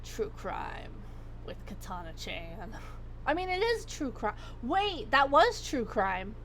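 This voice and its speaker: Hoarse slow-talking woman streamer. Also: on the high side, sing-song, a bit bright, slight background noise. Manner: rambling, chatty, swears freely. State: hoarse, losing her voice. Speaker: livestreamer. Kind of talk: casual chat.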